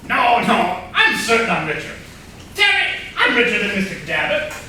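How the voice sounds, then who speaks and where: Actor as pompous, high-pitched, sharp-voiced rich businessman. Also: wildly swooping pitch, moderate pace, heavily acted. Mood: indignant boasting. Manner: self-important, blustering. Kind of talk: staged comedy play reading. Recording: very echoey room, distant mic, faint noise.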